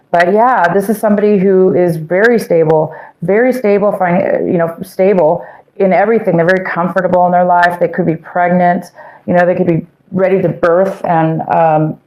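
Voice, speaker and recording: A woman with a fairly low voice talks her viewers through a tarot spread in a loose, meandering way, stumbling and restarting often. Her voice sounds worn, as if she is losing it. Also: darkish tone, poor audio. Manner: meandering, many fillers and self-corrections. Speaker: tarot reader. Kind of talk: casual tarot reading to viewers.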